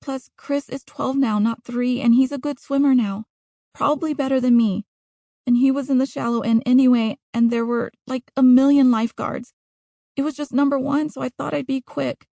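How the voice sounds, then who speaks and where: A woman's voice: high voice, steady tone, normal speed, vocal fry, mellow, no echo, voice pushed up. A woman voicing a frightened, apologetic girl captive who rambles childishly and justifies herself, in an audiobook story. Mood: anxious, defensive rambling.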